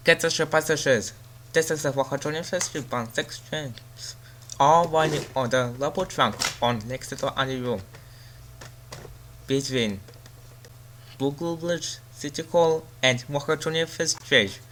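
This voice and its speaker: A man's voice: medium pitch, measured pace, a bit bright, slight background noise. A man reading subway service announcements and lists of stops as a train announcer.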